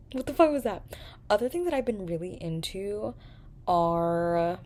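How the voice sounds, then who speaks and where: Lively chatty young woman vlogger. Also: mid-range voice, a little slow. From New York. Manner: chatty, animated, swears and jokes. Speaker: vlogger, podcast host. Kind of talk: casual chat to camera.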